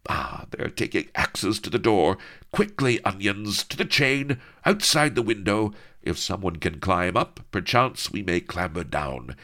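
A man voicing a prissy, archaic-speaking young English noblewoman in a high, affected falsetto. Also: expressive, a little slow, sharp tone, full character voice. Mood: urgent. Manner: high falsetto, affected old-fashioned speech.

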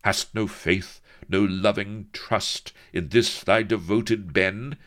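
A man's voice: deep voice, expressive, slow, fairly bright tone, voice pushed up, exaggerated voice. A man voicing a pompous, naive young sea captain who speaks in mock-archaic phrasing.

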